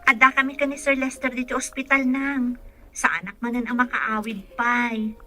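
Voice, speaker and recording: An actress plays a young caregiver in a radio drama. She is caring and respectful, with a fairly high voice. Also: level tone, moderate pace, pushed and bright. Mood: concerned, reporting. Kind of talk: Ilocano radio drama acting.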